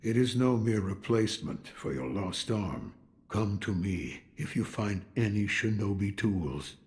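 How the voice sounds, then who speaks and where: An actor playing a gruff, world-weary old sculptor in a video game, brooding and wry in manner. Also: male voice, on the low side, expressive, measured pace. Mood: brooding, cryptic. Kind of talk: video game cutscene acting.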